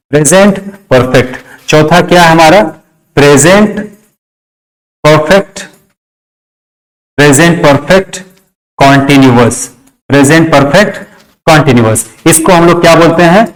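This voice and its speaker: Male teacher, Indian accent, slightly high voice, instructive, question-and-answer delivery. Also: measured pace, rough recording. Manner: instructive, repeats key phrases, asks and answers own questions. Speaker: English grammar teacher. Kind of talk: classroom lesson, step-by-step explanation.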